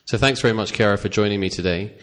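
A man with a deep, low-pitched voice hosts an interview podcast. He talks in a relaxed, chatty way, full of asides and hedging phrases. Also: fast talker. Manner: casual, chatty, many fillers and asides. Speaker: podcast host, veterinarian.

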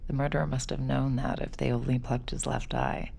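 Woman acting jaded young mind reader, very deep, gravelly, dry, sarcastic. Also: little pitch movement, fairly quick, warm tone, slight background noise, exaggerated voice. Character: wry jaded young woman who reads minds. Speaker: narrator and lead. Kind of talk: audio drama acting.

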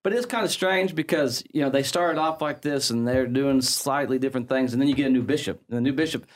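English Midlands priest, middle-aged, loose chatty explainer, restarts a lot. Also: male voice, medium pitch, fast. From England. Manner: chatty, many fillers and restarts, laughs easily. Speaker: priest guest. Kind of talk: conversational explanation.

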